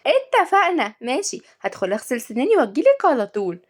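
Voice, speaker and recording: A bratty little girl of about eight, acted out by a woman, whiny and insistent in a storybook reading. Her voice sits very high and rattles along quickly with childish stubbornness. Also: huge pitch swings, exaggerated voice. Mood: curious, eager. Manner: shouting protests, childish.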